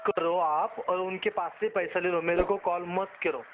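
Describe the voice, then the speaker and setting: A man with an Indian accent and a rather high voice pushes back as a customer in a heated call. He is defiant and repeats himself. The recording is a narrow, tinny phone line thick with noise. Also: fairly even intonation, moderate pace. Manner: defiant, argumentative, repetitive. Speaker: bank customer who owes money.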